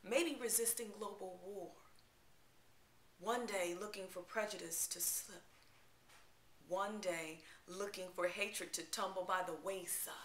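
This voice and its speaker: A woman giving a dramatic spoken-word poetry recital, theatrical and emphatic, with deliberate pauses. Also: mid-range voice, pushed and bright, slight background noise. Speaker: poetry reader. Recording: roomy, echoing live-room sound.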